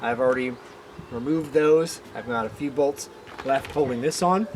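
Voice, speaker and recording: A man's voice: mid-range voice, normal speed, darkish tone, slight background noise. A man giving a casual hands-on tutorial, thinking aloud informally as he works as a DIY repair host.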